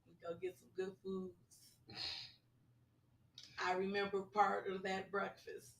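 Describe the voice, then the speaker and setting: A woman co-hosting a podcast in casual, slangy chat, her voice hoarse. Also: mid-range voice, very animated, measured pace, slight background noise. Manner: chatty, slangy, laughing. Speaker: podcast co-host. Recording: roomy, distant mic.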